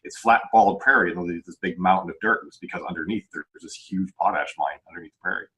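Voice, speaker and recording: Male podcast host, Canadian accent, lower register, relaxed conversational delivery. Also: quick pace, low-quality recording. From Saskatchewan, Canada. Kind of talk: casual chat.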